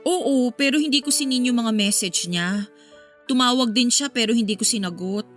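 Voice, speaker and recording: An actress playing a concerned, level-headed confidante in a Filipino radio drama. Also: female voice, on the high side, normal speed, close to an everyday voice. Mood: gently reasoning. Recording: music under the dialogue.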